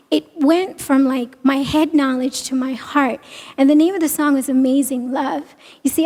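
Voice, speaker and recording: A young woman from India, with a small soft voice, giving a halting, emotional church testimony in a large auditorium. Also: sits high. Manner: halting, emotional, many restarts. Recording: long reverb of a large hall.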